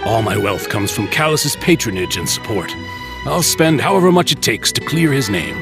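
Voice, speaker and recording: A courteous, wealthy middle-aged uncle from a video game, speaks with theatrical, protective warmth of manner. His mid-pitched voice moves expressively up and down over faint music. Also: sing-song, moderate pace. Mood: apologetic, earnest.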